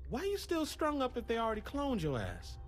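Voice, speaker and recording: An actor playing a wisecracking, flamboyant street gangster in a video game, with slangy, incredulous delivery. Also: male voice, very high voice, wildly swooping pitch, brisk, soft background music, heavily acted. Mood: wry disbelief. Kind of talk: video game cutscene acting.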